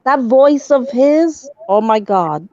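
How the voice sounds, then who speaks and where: A woman's voice: sits high, animated, slow, mellow, no room sound. A woman chatting casually in a group voice call, laughing and bantering playfully. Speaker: chat participant. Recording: dull dry lo-fi mic.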